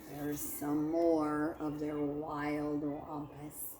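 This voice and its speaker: A woman reading a children's picture book aloud like a teacher, expressive and dramatic, with drawn-out words and hushed moments. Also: low voice, slight background noise.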